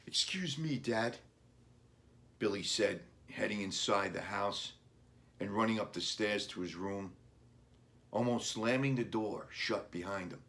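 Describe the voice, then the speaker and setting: A man with a deliberate manner, an author reading a storybook aloud for children. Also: on the low side, a bit bright. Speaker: author reading own book.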